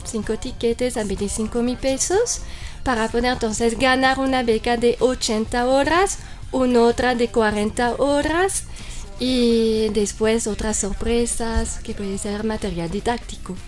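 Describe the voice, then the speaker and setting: French-accented woman, a bit high-pitched. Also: fairly even intonation, a little slow, soft background music. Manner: speaks in long explanations with fillers like "mira" and "entonces". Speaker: guest from a French cultural institute. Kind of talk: interview answers.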